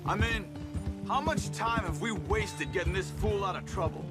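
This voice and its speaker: An actor playing a level-headed, sceptical outlaw companion in a video game, speaking in a straightforward, questioning way. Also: male voice, sits high, moderate pace, lightly acted. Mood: sceptical, calm. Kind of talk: video game cutscene acting. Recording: loud music and horse sounds behind.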